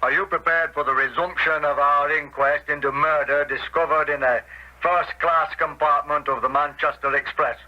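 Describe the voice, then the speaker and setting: A man's voice: on the high side, fairly even intonation, normal speed, natural voice. Formal coroner, played by a man, muffled radio. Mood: probing. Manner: formal, courtly, questioning. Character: courteous, formal male coroner presiding at inquest. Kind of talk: radio drama acting. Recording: muffled old radio recording.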